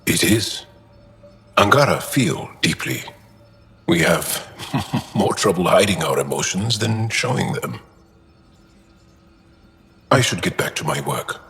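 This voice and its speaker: A man playing an earnest, guarded alien warrior and tinkerer in a video game, speaking haltingly and thoughtfully. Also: low voice, lively intonation, fairly bright tone, heavily acted. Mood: sincere. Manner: halting, thoughtful, measured delivery. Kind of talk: video game dialogue acting. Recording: reverberant room with music behind.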